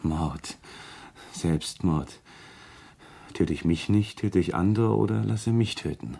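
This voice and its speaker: Husky, low-voiced deranged killer. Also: male voice, warm tone, heavily acted. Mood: provocative, menacing. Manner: deliberate, insistent, lecturing, repeats himself. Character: deranged male killer, coolly menacing, fanatically convinced of his mission. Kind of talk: German radio crime drama acting.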